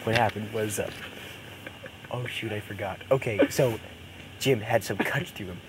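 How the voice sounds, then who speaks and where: A man's voice: on the low side, normal speed. A man improvising a comic horror story for friends, imitating a parody voice and often repeating himself as he goes. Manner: imitating a cartoon parody character's voice as a joke; stumbling, repeats words and phrases. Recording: noisy amateur home recording.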